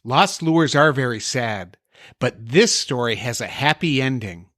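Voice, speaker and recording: Male podcast host, mid-pitched, close dry recording, scripted bedtime-story delivery with a comic bent. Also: animated, a little slow, a bit bright, dry recording. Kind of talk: scripted comedy dialogue and storybook reading.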